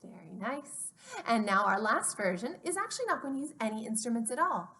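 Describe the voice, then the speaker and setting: A woman hosting a story time for small children, speaking in a simple, expressive, child-directed way as she narrates. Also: a little high, brisk, very noisy.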